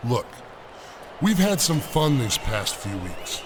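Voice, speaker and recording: A man's voice: medium pitch, sing-song, slow pace. A man playing a cocky, dismissive big pro wrestler in a video game cutscene, with a smug, swaggering manner. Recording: noisy game cutscene audio.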